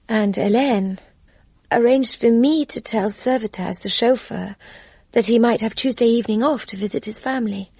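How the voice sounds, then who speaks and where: An actress playing a gentle, anxious young Englishwoman recounting her ordeal in a radio drama, in a soft, breathy voice. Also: a little high, dry recording, full character voice. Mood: wistful. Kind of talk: radio drama acting and narration.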